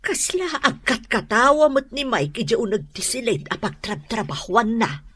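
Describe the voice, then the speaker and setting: A talkative Ilocano woman in a domestic radio comedy-drama. Her voice is fairly high and bright, very lively in its rise and fall. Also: moderate pace, slightly rough, no room sound, full character voice. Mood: chatty, nosy.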